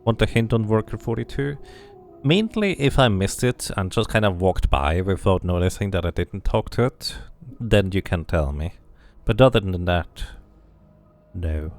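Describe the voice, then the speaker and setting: Very deep, gravelly-voiced man streaming a game. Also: expressive, normal speed, a little mellow. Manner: musing to himself, addressing viewers. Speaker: game streamer playing and reading aloud. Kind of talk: casual playthrough commentary with read-aloud narration.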